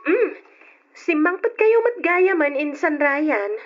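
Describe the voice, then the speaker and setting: Gossipy, teasing young Ilocano cousin, high voice, muffled radio drama recording. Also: female voice, animated, slow, soft background music. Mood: nosy, friendly. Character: chatty, nosy, teasing young female cousin.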